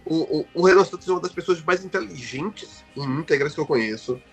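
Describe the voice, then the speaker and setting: A man's voice: a little high, animated, a little fast, slightly rough, faint music behind. A Brazilian man speaking Portuguese with a Brazilian accent as a podcast guest, talking with frequent fillers and restarts. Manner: hesitant, many restarts and fillers. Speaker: guest researcher. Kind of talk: podcast interview.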